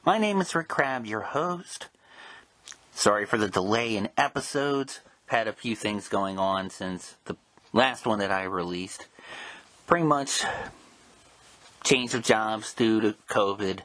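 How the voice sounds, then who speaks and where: Low-voiced man hosting a comics show. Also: lively intonation, a little slow, fairly bright tone, dry recording. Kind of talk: casual podcast intro and announcements.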